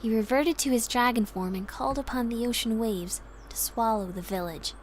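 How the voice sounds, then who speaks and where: Actress as a high-voiced, gentle young woman. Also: moderate pace, close to an everyday voice. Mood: storytelling. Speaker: voice actress in a visual novel. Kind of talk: voiced visual novel dialogue. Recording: game audio with background ambience. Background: ambience noise.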